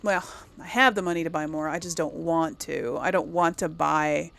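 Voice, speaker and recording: Woman rambling casually, faint creak. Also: low-pitched, fairly even intonation, normal speed, a bit bright. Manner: rambling, thinks aloud and self-corrects. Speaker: gaming video host. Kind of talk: casual chat, let's play commentary.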